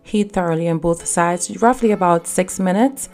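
Woman, lowish voice, calm step-by-step recipe narration over faint music. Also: moderate pace. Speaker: cooking channel host.

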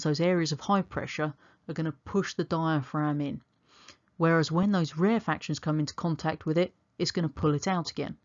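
Slightly muffled teacher narrating a science lesson. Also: mid-range voice. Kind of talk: explanatory revision lesson narration. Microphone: dynamic microphone.

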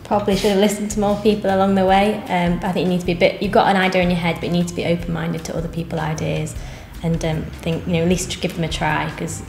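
Young woman, bakery co-owner, low earnest voice, echoey cafe room with music under it. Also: flat delivery, fast talker, creaky, hardly any pauses, a little mellow. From England. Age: 20s. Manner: earnest, plain-spoken with many ums. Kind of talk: interview answers. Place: cafe interior, seated on sofa.

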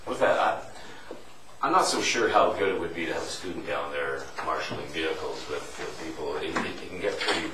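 A man's voice: very deep voice, a little fast, bright, forceful tone, slight background noise. A man speaking as a town councillor, hesitant and rambling with many restarts. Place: council chamber, large indoor room. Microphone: table microphone, recorded from far. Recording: echoey room from a distant mic.

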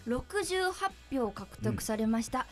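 A woman's voice: fairly high pitch, expressive, slow pace. A woman co-hosting a radio show, chatting and reading out listener messages. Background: faint background music.

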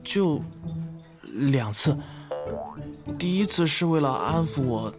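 A man acting a flustered young newlywed in an audio drama, halting and embarrassed as he confides in a friend. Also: a little high, animated, over music.

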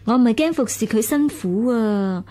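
An actress playing a dutiful, sorrowful young maidservant in a Chinese period radio drama. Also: female voice, a little high, lively intonation, moderate pace, dark tone, lightly acted. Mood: sad, confiding.

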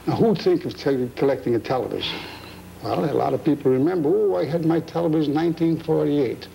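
A man's voice: a little high, fast talker, gravelly, warm tone, a little hiss or hum, low-quality recording. A man in his sixties chatting casually in an interview, folksy and plainspoken. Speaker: antique machine collector being interviewed. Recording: old videotape with music behind.

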